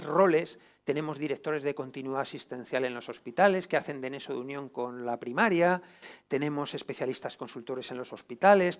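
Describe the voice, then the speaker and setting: A man with a Castilian Spanish accent and a fairly high voice gives an informal lecture. He is emphatic and colloquial, often checking that his listeners agree. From Spain. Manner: colloquial, emphatic, seeks agreement with tag questions. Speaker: lecturer, doctor. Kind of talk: informal lecture to a group of health professionals.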